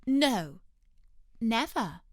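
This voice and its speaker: A woman's voice: a little high, very animated, extremely slow, no room sound, clean studio sound, pitched above the performer's own voice, heavily acted. A woman voicing a polite, timid, curious young girl in a storybook, with careful lifted intonation. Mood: polite, hesitant.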